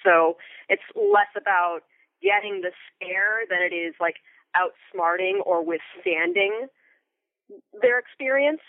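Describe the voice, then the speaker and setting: A woman's voice: mid-range voice, slow, no room sound. American woman on a thin phone line. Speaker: interview guest, theater company member and Foley artist. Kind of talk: conversational interview.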